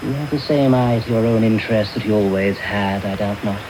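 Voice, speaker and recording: An actor playing a sinister, secretive villain, commanding, contemptuous and deliberate, in a radio drama. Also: male voice, fairly low pitch, lightly acted. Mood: brusque, probing. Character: sinister, secretive villainous man, brusque and menacing. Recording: noisy off-air medium wave radio tape.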